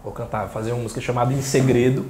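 A man in his thirties with a Pernambuco accent from northeastern Brazil, talking casually in a soft voice as an interview guest. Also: mid-range voice, steady tone, racing, a little hiss or hum. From Caruaru, northeastern Brazil. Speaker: interview guest, singer-songwriter and journalist. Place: small indoor room. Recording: echoey small room.

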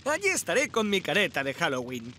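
An actor playing an eager, naive, deferential young junior firefighter in a children's cartoon dub. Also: male voice, high voice, a little fast, slight background noise, heavily acted. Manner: excitable, deferential.

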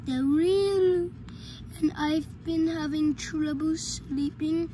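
A very high-pitched, frightened young boy acted by a female performer in a homemade horror skit. He speaks slowly and haltingly, whining and repeating himself as he pleads. The recording is clearly noisy. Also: fairly even intonation. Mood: anxious, insistent. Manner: whiny, halting, repetitive, pleading. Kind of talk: amateur improvised horror skit.

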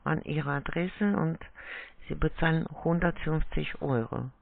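A man working as a call centre sales agent, taking an order with halting, non-native phrasing. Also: a little high, gravelly, no echo. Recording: tinny, band-limited phone line.

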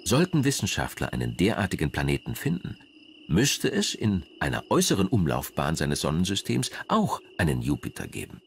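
A man's voice: sits low, huge pitch swings, brisk, vocal fry, a little hiss or hum. A man reading scripted documentary narration in German as the narrator.

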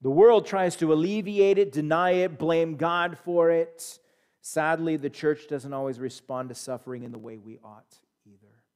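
A man in his thirties with an American accent, preaching a sermon in a church sanctuary on a headset mic, swinging from loud emphatic peaks to quiet asides. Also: fairly high pitch, moderate pace, clean tone. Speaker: pastor. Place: church sanctuary, standing at a pulpit.

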